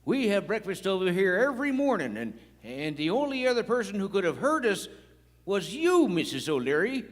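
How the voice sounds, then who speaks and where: High-voiced, folksy cowpoke. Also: male voice, sing-song, normal speed. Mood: earnest, accusing. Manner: hesitant, aw-shucks folksy. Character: folksy, slow-witted small-town cowpoke lawman. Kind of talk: live old-time western radio play acting. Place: large performing arts hall, on stage. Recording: echoey hall, live stage performance.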